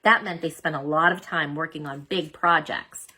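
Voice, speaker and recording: A woman reading a children's storybook aloud as an expressive narrator. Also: sits low, moderate pace, breathy edge. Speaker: storybook reader.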